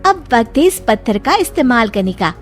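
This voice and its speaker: A woman acts a cheeky young daughter-in-law from a cartoon tale. Her voice is high and swings about excitedly, full of playful, scheming glee. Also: moderate pace, soft background music. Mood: gleefully scheming. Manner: exclamatory, gleeful. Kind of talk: cartoon story acting.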